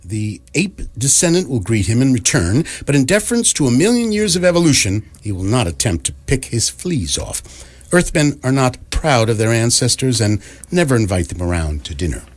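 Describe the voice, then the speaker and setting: A man playing the wry, deadpan narrator of a science fiction radio comedy, reading scripted narration in a measured way. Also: medium pitch.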